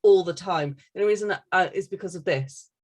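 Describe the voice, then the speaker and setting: A woman's voice: fairly low pitch, fairly quick, a little mellow. A woman hosting a casual interview, reading out questions and playfully teasing her guest. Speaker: podcast interviewer.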